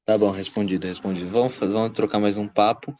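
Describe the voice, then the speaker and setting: A man's voice: lowish voice, fairly even intonation, fast, a little mellow. A man from São Paulo with a Brazilian accent, bantering casually as a podcast regular, slangy and teasing.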